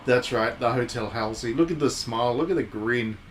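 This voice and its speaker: Man, mid-pitched voice, casual game streamer, musing aloud, faint noise behind him. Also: a little fast. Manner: chatty, musing aloud, reads game text aloud. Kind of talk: casual live visual novel playthrough commentary. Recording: steady background noise.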